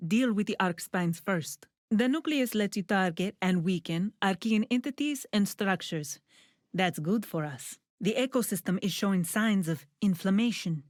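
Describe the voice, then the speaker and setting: A woman acts as a composed scientist and tactical guide in a video game, her voice at a middle pitch. She gives instructions in a measured, businesslike way, focused yet encouraging. The sound is close and dry, as from a studio. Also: no room sound, lightly acted. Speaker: video game character giving mission instructions. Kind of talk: scripted video game voice lines.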